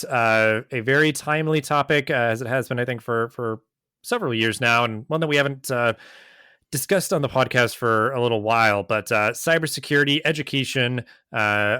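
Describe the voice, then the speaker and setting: A man's voice: mid-range voice, fairly bright tone, clean tone, dry recording, clean studio sound. A man hosting a podcast in a casual interview chat, rambling with lots of hesitant fillers. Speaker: podcast host.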